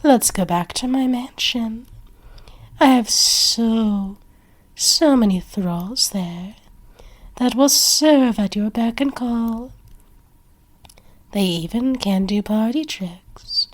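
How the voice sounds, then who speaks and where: A woman's voice: a little high, slow, pauses often. A woman acting a haughty, possessive vampire who flirts and threatens in an ASMR roleplay, theatrical and often half-whispered. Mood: seductive.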